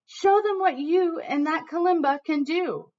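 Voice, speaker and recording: A woman's voice: very high-pitched, a little slow, darkish tone, voice pushed up. Woman reading as an encouraging storybook uncle, dull sound. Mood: urging. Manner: urging, advising. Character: encouraging artist uncle, adult man, gently advising.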